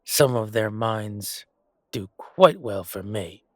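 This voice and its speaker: Man playing a low-voiced, chatty fantasy merchant. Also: expressive, slow pace, no room sound, clean studio sound, faint music behind. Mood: self-satisfied. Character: male merchant, chatty, self-satisfied, grumbling royal supplier. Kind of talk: fantasy audio roleplay acting.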